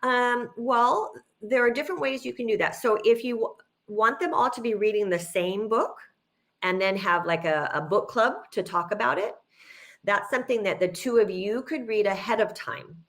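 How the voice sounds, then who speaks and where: A woman's voice: mid-range voice, moderate pace, a bit bright. A middle-aged woman speaking informally as a guest teacher, explaining things in an encouraging way with emphatic repetition. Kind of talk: informal educational talk, answering questions.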